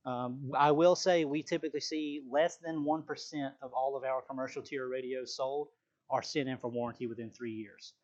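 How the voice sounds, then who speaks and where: A man's voice: medium pitch, darkish tone. Man giving product training, dull audio. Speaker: sales trainer. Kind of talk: live product training presentation.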